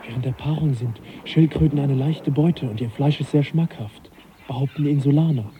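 An actor playing an absorbed, musing gentleman naturalist in a German radio drama, wondering and hushed in manner. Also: mid-range voice, moderate pace, slight background noise, natural voice, rough recording. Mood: awed, curious.

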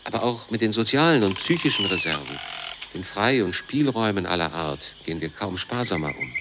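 A man reading as a critical writer and doctor in a radio play, in a measured, intellectual manner. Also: low-pitched, sing-song, heavy background noise. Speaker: reader of a philosophical essay. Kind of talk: scripted essay reading in a radio drama.

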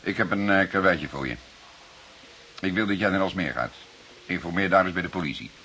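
Deep-voiced, wry old police detective, Dutch. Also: male voice, bright, forceful tone, poor audio. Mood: measured, instructing. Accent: Dutch (Netherlands). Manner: deliberate, lecturing, slightly ornate speech. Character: seasoned, wry, grey-haired older male police detective, fatherly. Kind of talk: Dutch radio detective drama acting. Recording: hissy old radio play recording.

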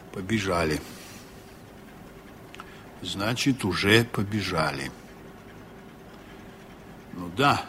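An actor playing a seasoned, honest sea captain in a reflective, measured way, in a 1980s Soviet radio drama. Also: male voice, lowish voice, fairly bright tone. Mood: grim, resigned. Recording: noisy old radio play recording.

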